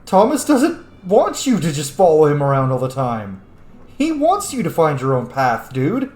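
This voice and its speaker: A man's voice: on the high side, wildly swooping pitch, moderate pace, a little mellow. A man giving casual, emotionally involved commentary as he plays a role-playing video game. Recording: roomy echo, faint background noise.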